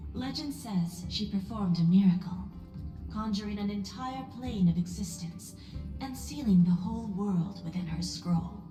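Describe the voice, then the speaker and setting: A woman playing a poised, enigmatic female executive in a video game, elegant, deliberate and knowingly probing. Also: mid-range voice, lightly acted. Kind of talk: scripted video game voice acting. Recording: echoey room, music behind.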